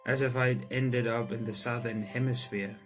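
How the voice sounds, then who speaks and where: A man doing let's play commentary on a visual novel, reading the text aloud and reacting to it excitably. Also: medium pitch, normal speed. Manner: excitable, reacts in sudden loud outbursts. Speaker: streamer playing a visual novel. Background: soft game music.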